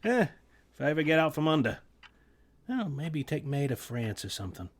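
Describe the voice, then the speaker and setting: An actor reading a gentle, good-natured working man in his sixties for a radio play, talking hesitantly with many fillers. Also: on the high side, big pitch swings, moderate pace. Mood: fond. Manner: hesitant, rambling, full of fillers.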